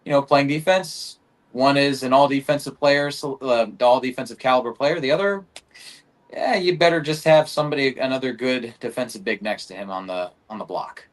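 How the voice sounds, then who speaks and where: A man on a sports podcast panel, giving measured, analytical takes and hedging often with "I think". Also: medium pitch.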